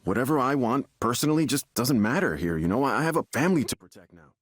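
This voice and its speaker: An actor playing a troubled young man desperate to stay normal, agitated and halting as he repeats himself in a video game. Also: mid-range voice, expressive, no echo, studio quality, lightly acted. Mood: anguished, self-blaming.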